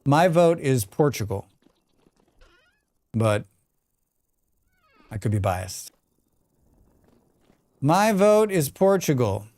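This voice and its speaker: A man's voice: medium pitch, sing-song, very slow, long pauses, darkish tone, no echo. Chatty American man streaming a game. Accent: General American. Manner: rambling, chatty, reads chat aloud. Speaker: live streamer. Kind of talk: casual chat while gaming.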